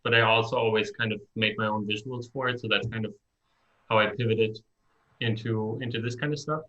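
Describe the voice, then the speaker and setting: A man's voice: on the low side, steady tone, fairly quick, fairly bright tone, muffled recording. A man from Germany, presenting casually on a live-stream in a friendly, hesitant way. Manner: friendly, hesitant, many likes and restarts. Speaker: software developer presenting.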